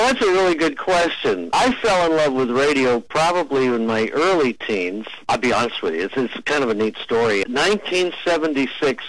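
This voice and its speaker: Animated American man in his forties reminiscing. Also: mid-range voice, no room sound, low-quality recording. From New England, United States. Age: 40s. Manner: chatty, nostalgic, animated. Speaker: interview guest, former radio DJ. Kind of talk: enthusiastic storytelling in an interview. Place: on a phone call.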